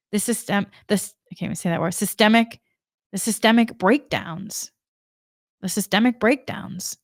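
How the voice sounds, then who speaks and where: A woman's voice: medium pitch, dry recording, clean studio sound. A woman from Massachusetts with a faint Boston accent, giving a spiritual energy forecast in an earnest, rambling way, restarting and stumbling over words. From Massachusetts, New England. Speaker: spiritual channel host.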